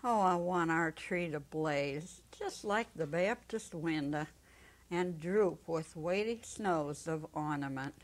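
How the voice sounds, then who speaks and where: Sly, stubborn old woman, played by an elderly woman, unhurried and expressive, for a dramatic story reading. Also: deep voice. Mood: eager. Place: indoor set, seated at a music stand.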